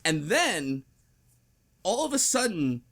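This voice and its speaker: A man's voice: high voice, sing-song, slow, fairly bright tone. A man hosting a casual book club podcast, talking in a rambling, self-correcting way. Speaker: podcast host.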